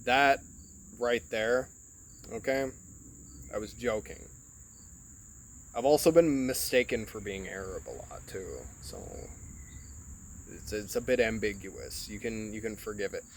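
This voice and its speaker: A man's voice: lowish voice, expressive, measured pace, long pauses, a little hiss or hum. Rambling, profane man from Michigan. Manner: rambling, profane, heavy filler words. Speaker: podcast host. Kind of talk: casual rambling monologue. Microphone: phone.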